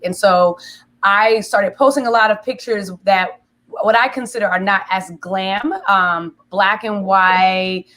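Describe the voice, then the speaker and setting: A woman in her forties with a smoky, raspy voice, a singer-songwriter chatting casually in an interview and telling animated stories. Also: mid-range voice. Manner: animated storyteller, laughs easily, acts out quoted friends.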